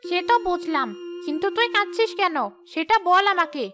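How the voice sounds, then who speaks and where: High, scornful stingy she-ghost voiced by a woman. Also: fairly quick, over music. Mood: smug, curious. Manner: scolding, dismissive, smug. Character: stingy, scornful female ghost guarding her fish. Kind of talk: cartoon ghost-story dialogue.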